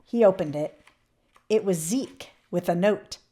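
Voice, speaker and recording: A woman reading a children's picture book aloud expressively, with emphatic swells and hushed drops. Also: on the low side, a little slow. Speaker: children's read-aloud channel host.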